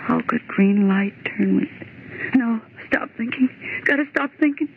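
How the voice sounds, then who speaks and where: Actress playing a terrified young woman, high-pitched, old radio. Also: close to an everyday voice. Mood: frightened, dazed. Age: young adult. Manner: halting, fearful, overwrought. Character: terrified young woman office typist trapped in the dark. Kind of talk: 1940s radio horror acting. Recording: tinny, hissy old radio recording.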